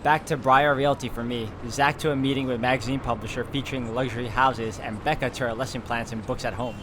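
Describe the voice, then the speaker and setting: A man's voice: mid-range voice, fairly even intonation, a little fast, noisy background. A man playing through a visual novel, reading its narration aloud and adding his own asides. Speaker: let's player. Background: background game music.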